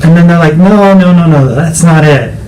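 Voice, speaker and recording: Man, higher voice, reflective, halting phrasing, faint background hiss. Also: darkish tone, low-quality recording. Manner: reflective, searching for words. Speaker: co-host. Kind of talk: personal conversation.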